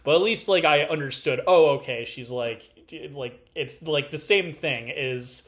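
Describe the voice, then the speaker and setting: A man's voice: fairly high pitch, a little slow. A man with a Californian accent chatting casually as a podcast co-host, full of fillers and restarts. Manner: rambling, filler-heavy, animated. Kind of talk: casual conversation.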